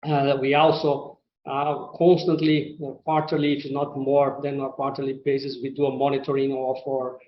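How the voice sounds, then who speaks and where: Man, non-native English, company executive, earnings presentation, hesitant phrasing, even intonation, muffled conference audio. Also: mid-range voice. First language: not English. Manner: halting, hesitant delivery with repeated words. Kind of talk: semi-scripted earnings call presentation to investors. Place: conference call line in a roomy space. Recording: roomy, muffled conference-call audio with faint noise.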